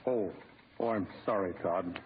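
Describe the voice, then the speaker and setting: An actor playing a well-meaning, insistent office boss with solemn sympathy in an old-time radio comedy. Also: male voice, low voice, a little slow. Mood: sympathetic, insistent. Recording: tinny, noisy old radio broadcast.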